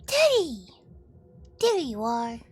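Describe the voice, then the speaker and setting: A woman acts out a timid little girl in a story game. Her high voice swings widely in pitch and moves slowly, childlike and pleading, with innocent questions. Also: wildly swooping pitch, husky, soft background music, heavily acted. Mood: curious. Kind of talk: character read-aloud of a visual novel.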